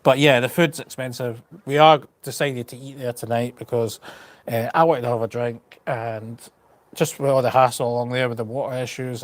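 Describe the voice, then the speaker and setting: A man with a Scottish accent chatting casually to his live stream, rambling and frank, with a slight stutter and repeated words. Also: mid-range voice. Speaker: travel vlogger on a live stream. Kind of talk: casual chat.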